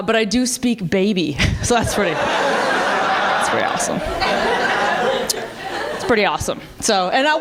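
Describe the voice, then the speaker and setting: A woman from the US Midwest with an American accent doing conversational stand-up comedy, repeating her punchlines. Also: on the high side. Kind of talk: stand-up comedy to a live audience.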